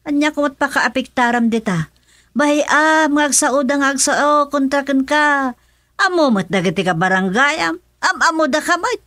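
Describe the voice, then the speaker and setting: Actress as a scolding Ilocano village wife. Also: female voice, sits high, normal speed, sharp tone, no room sound, studio quality. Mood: exasperated. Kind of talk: Ilocano radio comedy drama acting.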